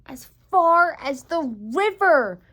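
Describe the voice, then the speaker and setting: Woman playing a little storybook hare, young, high-pitched, eager, earnest, adoring. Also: big pitch swings, slow, a bit bright, heavily acted. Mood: excited.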